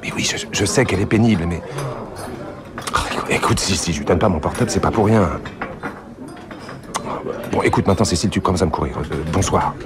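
A man playing a hesitant, anxious, polite businessman in a radio drama, halting and repeating his words. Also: low voice, very noisy, natural voice. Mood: flustered. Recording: busy chatter of a crowded bar.

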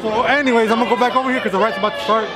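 A man's voice: extremely high pitch, fairly even intonation, rapid-fire. Chatty man vlogging in a crowded park. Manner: chatty, addresses viewers as guys. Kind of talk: casual theme park vlog. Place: outdoor theme park. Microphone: handheld camera at arm's length. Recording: loud crowd and outdoor noise.

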